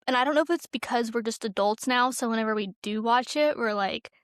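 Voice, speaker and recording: Young woman chatting, higher-pitched voice, close dry sound. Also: brisk, no room sound. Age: young adult. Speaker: podcast co-host. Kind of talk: casual pop culture chat.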